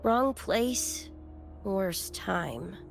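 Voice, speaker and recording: A woman's voice: medium pitch, expressive, extremely slow, creaky, faint music behind, full character voice. A woman playing a brash, scrappy young cyberpunk gang member in a game, with cocky, slangy talk and a wistful side. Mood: flippant, bitter. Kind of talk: animated game character dialogue.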